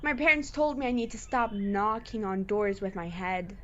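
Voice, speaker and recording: A woman's voice: mid-range voice, moderate pace, sharp tone, dull audio, a little hiss or hum, natural voice. A woman playing an eager, loyal young monster boy in an audio drama, talking in earnest slang. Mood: casual, puzzled.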